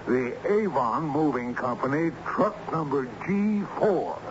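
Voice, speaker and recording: Brisk scheming lawyer, muffled noisy recording. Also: male voice, a little high, lively intonation. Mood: businesslike, scheming. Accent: American. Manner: commanding, businesslike, slangy. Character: brisk, scheming male lawyer leading a search. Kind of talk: American radio drama acting. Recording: muffled, noisy old radio drama recording.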